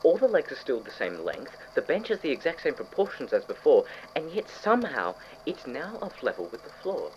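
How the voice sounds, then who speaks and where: An eccentric, slightly unhinged male scientist, acted by a man dictates an audio-drama lab log. His pitch swings about as he muses and questions himself with blithe enthusiasm. The tape is dull and lo-fi, with clear noise behind the voice. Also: huge pitch swings, fairly quick, hardly any pauses. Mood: eager, puzzled. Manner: musing aloud, rhetorical questions to himself.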